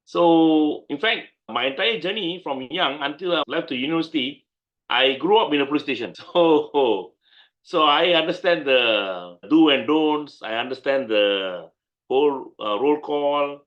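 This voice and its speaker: A man's voice: a little high, expressive, measured pace, lo-fi sound. A middle-aged man with a Malaysian English accent, answering interview questions in an emphatic, conversational way and often repeating his points. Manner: emphatic, repeats points, laughs while recalling. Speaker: guest lawyer.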